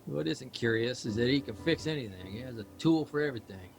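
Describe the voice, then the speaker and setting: An actor playing a restless, self-doubting male writer in a live audio drama, rambling and halting as he questions himself. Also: medium pitch, lively intonation, gravelly, soft background music. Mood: wry, exasperated.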